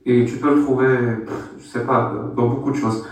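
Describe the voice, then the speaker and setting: A man in a live online debate who asks calm, probing questions. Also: mid-range voice, normal speed. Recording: distant, echoey sound.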